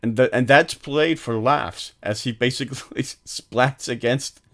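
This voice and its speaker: A man's voice: mid-range voice, a little slow, fairly bright tone. A man co-hosting a film podcast, retelling movie scenes in a rambling, casual way with many restarts and laughs. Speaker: podcast co-host.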